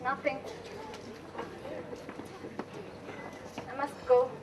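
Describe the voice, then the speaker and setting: A woman's voice: sits high, level tone, a little fast, lightly acted. A young woman playing a romantic, spirited bride-to-be in an amateur stage play. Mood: hesitant. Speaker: student actor. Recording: distant mic, noisy room.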